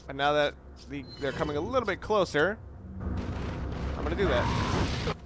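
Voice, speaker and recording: A man's voice: a little high, expressive, normal speed, music in the background. A man doing casual, unscripted game commentary, thinking aloud in a rambling way and often correcting himself. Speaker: let's player.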